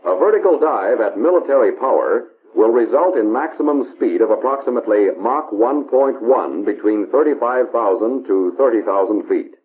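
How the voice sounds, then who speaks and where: An American man narrating a 1950s instructional film in a measured, authoritative, instructional manner, on an old film soundtrack. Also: medium pitch, expressive. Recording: tinny band-limited 1950s film soundtrack, hiss.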